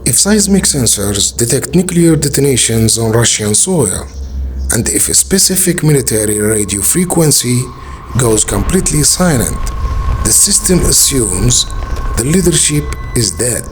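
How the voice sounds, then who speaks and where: A man narrating a scripted suspense documentary in a dramatic, ominous way with deliberate pauses. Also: mid-range voice, sing-song, slight background noise.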